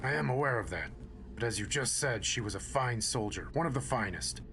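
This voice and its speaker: Man as earnest ranger soldier, audio drama, middle pitch, measured, respectful, formal, faint noise. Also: lively intonation, sharp tone. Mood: earnest persuasion.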